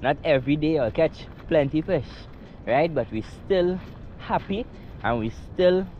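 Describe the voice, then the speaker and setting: A man's voice: fairly high pitch, lively intonation, measured pace, muffled recording. A young man with a Trinidadian accent, vlogging outdoors on a beach and in a forest, chatting in a laid-back way and often asking "right?". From Trinidad and Tobago. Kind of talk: casual outdoor vlog. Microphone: action camera on a chest mount. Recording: outdoor wind and surf noise.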